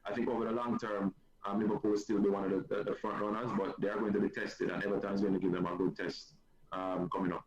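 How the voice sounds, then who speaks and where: A man with a Jamaican accent, a football coach and guest, talking in a measured, hesitant way with many fillers. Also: lowish voice, level tone, over loud music. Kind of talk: casual chat on a sports show. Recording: muffled echoey line, loud crowd behind.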